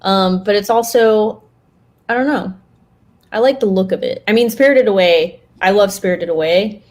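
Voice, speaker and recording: A woman's voice: mid-range voice, normal speed, darkish tone. A woman chatting casually as a podcast co-host, thinking aloud and correcting herself as she goes. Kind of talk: casual chat about fictional universes.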